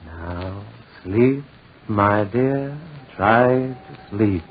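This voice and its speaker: An actor playing a calm, courteous, reassuring doctor in a 1940s radio drama, speaking in a measured, soothing way. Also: male voice, on the low side. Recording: tinny, hissy 1940s radio recording.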